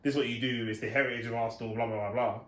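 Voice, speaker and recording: A man's voice: mid-range voice, fairly even intonation, rapid-fire, a bit bright. A young man co-hosting a podcast, chatting casually and teasing his friend. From West London. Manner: banter-heavy, teasing, repeats "do you know what I mean". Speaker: podcast co-host. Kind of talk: casual chat. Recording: echoey room with faint noise.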